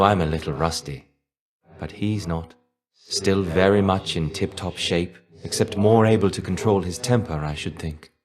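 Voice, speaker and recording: A man playing a weary, melancholy shade of a fallen ancient Greek warrior in a video game, measured, wistful and dryly understated. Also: low-pitched. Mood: resigned, reflective. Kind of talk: video game character voice lines.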